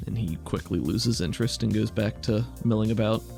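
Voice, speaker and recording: A man's voice: fairly low pitch, a little fast, gravelly, warm tone, soft background music. A man improvising scenes as game master of a tabletop game in a casual, conversational way.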